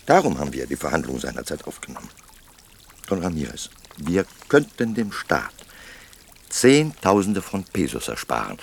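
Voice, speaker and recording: A man's voice: sits low, vocal fry, exaggerated voice. A man acting in a radio play as a deferential businessman, polite, insinuating and persuasive. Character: deferential, wheedling Mexican businessman pressing a politician.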